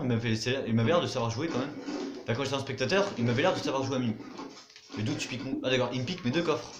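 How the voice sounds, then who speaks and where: A man's voice: medium pitch, reverberant, background noise. A young man giving casual commentary over his own gameplay, chatty, muttering to himself and swearing now and then. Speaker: gaming YouTuber.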